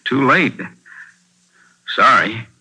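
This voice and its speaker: An actor playing a chatty, apologetic used car dealer who blames himself, in a 1940s radio drama. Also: male voice, medium pitch, expressive, drawn-out words, pushed and bright, slightly rough, exaggerated voice, low-quality recording. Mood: rueful. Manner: apologetic, self-reproaching. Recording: old radio recording.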